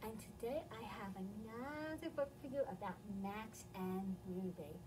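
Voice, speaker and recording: A woman's voice: medium pitch, lively intonation, unhurried, noisy background. A middle-aged woman with an American accent, presenting a children's storytime and explaining things simply to young listeners. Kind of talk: children's read-aloud and simple instruction. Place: indoor library, seated among bookshelves. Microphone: camera several feet away.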